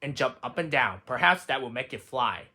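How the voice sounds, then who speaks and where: A man voicing a calm, patient frog in a read-aloud storybook, gentle and encouraging. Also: medium pitch, moderate pace, breathy edge, pitched below the performer's own voice, full character voice.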